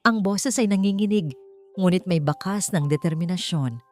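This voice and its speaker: A woman's voice: lowish voice, moderate pace, a little mellow. A woman narrating an audiobook in a dramatic, scripted storytelling style. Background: background music.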